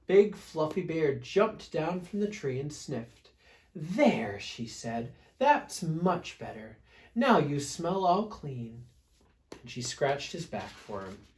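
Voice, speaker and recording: A man's voice: medium pitch, a little mellow. A man reading a picture book aloud to children as a storyteller, with dramatic emphasis. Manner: dramatic emphasis, pauses to prompt listeners.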